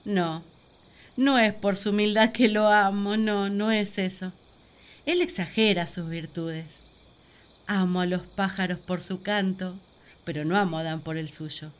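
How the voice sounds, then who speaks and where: A woman plays the first woman in a radio play, devoted and thoughtful. Her voice sits at a medium pitch. She reflects on her feelings gently and earnestly, as in a spoken diary. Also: steady tone, measured pace, close to an everyday voice. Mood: tender, reasoning. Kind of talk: radio drama diary monologue.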